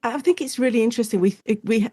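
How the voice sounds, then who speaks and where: A woman speaking as an academic guest in an informal interview, explaining things in an animated way and acting out short quotes. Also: fairly high pitch, fast talker, husky, dry recording. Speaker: guest academic, Shakespeare and radio drama specialist.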